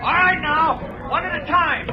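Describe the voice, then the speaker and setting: A man's voice: extremely high pitch, moderate pace. An actor playing a firm, reassuring fireman calling out instructions in 1940s radio style. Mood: urgent, reassuring. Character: commanding fireman calling instructions to trapped family. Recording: muffled old radio, music and commotion behind.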